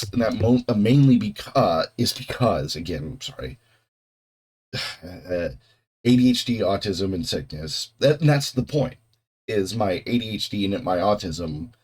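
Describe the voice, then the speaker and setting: Man, deep voice, hoarse from a cold, rambling casual host, off-the-cuff swearing. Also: a little mellow. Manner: rambling and loose, with frequent swearing and restarts. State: hoarse, getting over a cold. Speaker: host of a video reaction channel.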